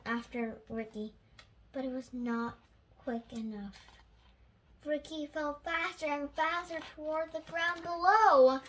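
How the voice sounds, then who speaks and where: Slow, high-voiced child reading aloud. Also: a bit bright. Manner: halting, self-correcting reading.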